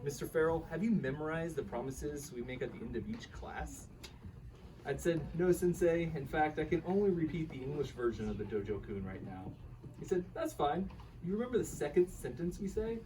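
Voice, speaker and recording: Give this man acting as an earnest, bemused karate student a fairly high, very lively voice. He recounts his trek conversationally, as in a radio play read live. The microphone sits some way off. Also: brisk, close to an everyday voice. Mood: earnest storytelling. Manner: animated, chuckling, quotes his teacher's dialogue. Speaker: reader in a staged radio play. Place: live reading at a bookshop event. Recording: distant microphone with faint room noise.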